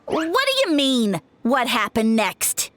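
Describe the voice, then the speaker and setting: A pompous mushroom spirit holds court, played by a woman. The voice sits high and bright and leaps up and down with showy grandeur, dodging awkward questions in a video game scene. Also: huge pitch swings, slow, a little hiss or hum, full character voice. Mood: grandiose. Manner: grandiose, evasive, theatrical. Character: boastful male mushroom spirit, self-styled savior. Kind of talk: game voice acting.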